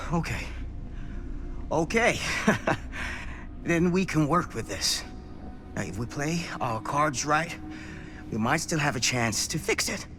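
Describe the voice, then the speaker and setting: An actor playing a blunt, urgent, haunted old former small-town sheriff in a cinematic horror game. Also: male voice, fairly high pitch, expressive, slow pace, long pauses, music in the background. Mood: anxious relief. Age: older.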